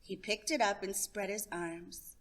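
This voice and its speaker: A woman hosting a story time, reading a children's picture book aloud in an expressive narration. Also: mid-range voice, a little slow. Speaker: storytime host and reader.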